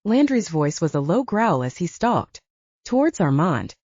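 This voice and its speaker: A woman's voice: fairly low pitch, big pitch swings, unhurried, darkish tone, no echo, muffled recording. A woman reading scripted first-person fiction as an audiobook narrator.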